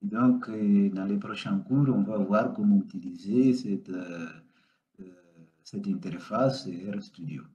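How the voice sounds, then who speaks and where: A man whose first language is Portuguese talks through a software setup slowly, pausing and hesitating as he goes. His voice is low and mellow. The recording sounds roomy and a little dull. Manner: unhurried, step-by-step, frequent hesitations. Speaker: data science instructor. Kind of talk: screen-recorded software tutorial.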